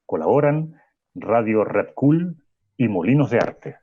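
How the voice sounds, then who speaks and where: A man with a Chilean accent reading the cast credits and the scene setting in a measured, announcing way. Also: lowish voice, big pitch swings, breathy edge. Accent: Chilean Spanish. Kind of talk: scripted credits narration. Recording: dull lo-fi recording.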